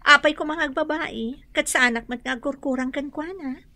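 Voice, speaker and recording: A devoted yet questioning wife in a radio drama. Her voice is fairly high, bright and forward. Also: normal speed, no echo. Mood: defensive. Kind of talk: Ilocano radio drama acting.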